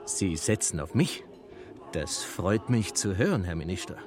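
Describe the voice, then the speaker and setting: An actor playing an ambitious, proud and evasive mountain-born filmmaker in a German radio play. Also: male voice, deep voice, animated, a little slow, a crowd in the background. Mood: eager, deferential.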